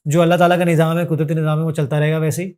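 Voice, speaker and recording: A man's voice: fairly high pitch, flat delivery, rapid-fire, a little mellow, clean tone. A man, a gym trainer giving earnest fitness advice in a podcast, mixing in English phrases. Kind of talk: podcast interview answers.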